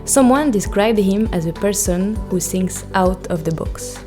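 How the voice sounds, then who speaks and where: A woman's voice: mid-range voice, a little slow, music in the background. A woman hosting a podcast, who introduces her guest and asks interview questions in a light, friendly manner.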